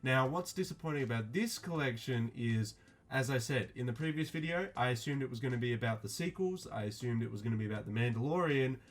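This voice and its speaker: Flat-voiced man with many ums. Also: mid-range voice, quick pace. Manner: flat, mildly disappointed, many fillers. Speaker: streetwear vlogger. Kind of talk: casual unscripted review.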